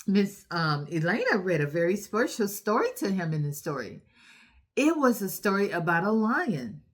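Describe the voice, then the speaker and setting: A woman hosting a storytime for young children, recapping a story in an encouraging, instructive way and putting questions to her young listeners. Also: lowish voice.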